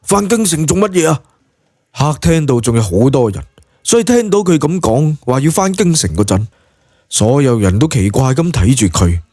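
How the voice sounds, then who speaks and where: A man's voice: mid-range voice, big pitch swings, moderate pace, dark tone, no room sound, clean studio sound. A man with a Cantonese accent narrating a scripted story in a dramatic audiobook style.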